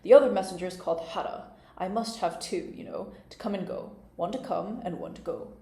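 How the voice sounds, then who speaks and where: Woman reading as a fussy king, mellow, echoey. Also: fairly low pitch, brisk, lightly acted. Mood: pompous, matter-of-fact. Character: fussy, impatient, easily alarmed chess king. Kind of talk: character voice in storybook reading.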